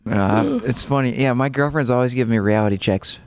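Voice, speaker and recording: A young man from Russia co-hosting a podcast in relaxed, rambling chat. He swears freely and circles around his point. His voice sits at an ordinary pitch. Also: a little fast, clear, resonant tone. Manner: loose, rambling, swears often. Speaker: podcast co-host. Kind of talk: casual chat.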